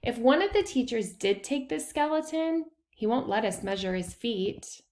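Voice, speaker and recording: A woman's voice: fairly high pitch, expressive, normal speed, a little mellow. A woman voicing a sensible, practical schoolgirl detective in a children's storybook, firm and matter-of-fact. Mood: reasoning.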